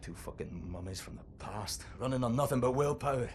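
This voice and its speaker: A man's voice: mid-range voice, lively intonation, brisk, close to an everyday voice. Casting: male actor for embittered, weary veteran in a game, middle-aged, sweary, swinging between mutters and outbursts. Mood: weary regret. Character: bitter, weary, aging male resistance soldier. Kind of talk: dramatic game cutscene acting. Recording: noisy ambience behind the voice.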